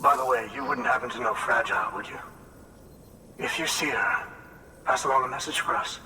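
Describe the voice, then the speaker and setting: An actor playing a hardworking, hopeful farm leader in a video game, speaking in a folksy, earnest, measured way. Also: male voice, close to an everyday voice. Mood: friendly, earnest. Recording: long hall reverb, faint rumbling noise.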